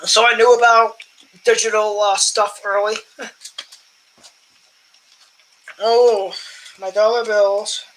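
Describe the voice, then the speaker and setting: A young man with a higher voice for a man chats casually while sorting his coin collection, amused at his own stories and often clearing his throat. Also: little pitch movement, takes it slowly, pauses often, fairly bright tone, slight background noise, rough recording. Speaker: coin collecting channel host. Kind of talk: casual rambling vlog chat while sorting coins.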